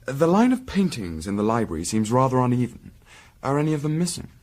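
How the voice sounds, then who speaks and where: An actor playing a shrewd Victorian gentleman investigator in a period radio drama, polite, dry and probing. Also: mid-range voice, big pitch swings, brisk, natural voice.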